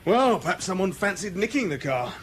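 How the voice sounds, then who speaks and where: A man's voice: high-pitched, big pitch swings, fairly bright tone. A British garage mechanic in a radio play, chatty and unfazed. He talks plainly and without hurry, with faint ambient noise behind him. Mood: matter-of-fact. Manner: matter-of-fact, unhurried. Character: chatty, matter-of-fact male garage mechanic. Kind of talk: naturalistic radio drama acting.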